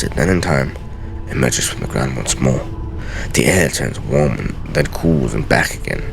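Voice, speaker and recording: A man playing a solemn, brooding scholar reading out secret journal pages in a grave, measured, theatrical way for a game. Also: extremely low pitch, gravelly, a bit bright, exaggerated voice. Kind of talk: dramatic reading of game lore.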